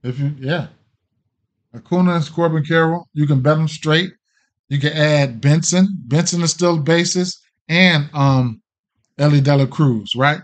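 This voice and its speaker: A middle-aged man with an American accent and a slightly higher-pitched voice. He talks like a casual sports betting streamer, thinking out loud and trailing off as he goes. His delivery is loose, slangy and peppered with profanity. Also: unhurried. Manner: slangy, profane, hesitant and rambling. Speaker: sports betting show host. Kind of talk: casual off-the-cuff livestream betting picks.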